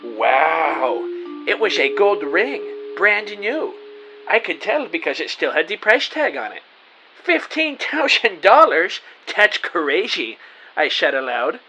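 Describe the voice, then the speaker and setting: Male actor as earnest young detective narrating a mystery radio play, animated intonation, plain-spoken, music underneath. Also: fairly high pitch, slow, exaggerated voice, rough recording. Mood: uneasy, amazed. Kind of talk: first-person scripted audio drama narration.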